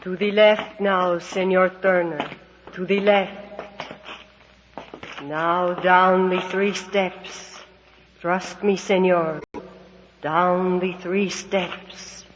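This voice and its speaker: A woman's voice: lowish voice, steady tone, a little hiss or hum. A woman playing a mysterious, solemn unseen guide who gives insistent commands in a 1940s radio adventure, echoing and muffled. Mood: guiding. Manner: slow, repeated, solemn commands. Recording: muffled old radio recording, long hall echo.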